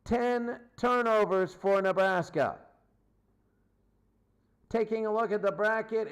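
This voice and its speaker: High-pitched, unhurried man calling football. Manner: repeats phrases for emphasis. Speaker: play-by-play sports announcer. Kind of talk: live American football radio-style commentary. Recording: clearly noisy sound.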